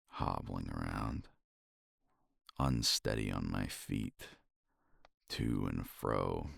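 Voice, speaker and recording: A man speaking softly and reflectively in a romantic ASMR roleplay, sighing often and sometimes dropping to a whisper, close to the mic. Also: a bass voice, creaky, dry recording. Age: older. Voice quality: soft, intimate, drifts into whispers.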